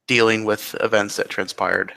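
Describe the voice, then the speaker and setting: A man improvising narration for a roleplaying game in halting, descriptive phrases. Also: lowish voice, level tone, creaky, pushed and bright. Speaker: roleplayer narrating his character and scene.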